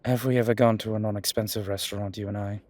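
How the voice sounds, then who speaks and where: A man playing a fond, teasing vampire deacon in an ASMR roleplay, with a breathy voice and theatrical, sardonic phrasing. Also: fairly low pitch, fairly even intonation, fast talker, darkish tone, no echo, lightly acted. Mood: flirtatious, playful. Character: male vampire deacon, fond, teasing, exasperated, protective, dryly witty. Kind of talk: ASMR roleplay audio drama addressed to the listener.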